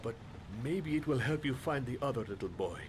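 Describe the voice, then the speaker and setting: A man's voice: mid-range voice, expressive, fairly quick, lightly acted. An actor playing a dignified, stubborn, grieving male shopkeeper in a video game, speaking in formal, courteous, stilted phrases. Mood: grateful, sorrowful. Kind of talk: dramatic video game acting. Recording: clear background noise and ambience.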